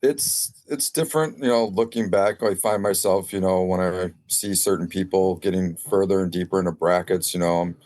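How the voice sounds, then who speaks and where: A man's voice: low voice, steady tone, normal speed, few pauses, a little mellow, dry recording. A man talking casually as a guest on a sports podcast, reflecting as a former competitor.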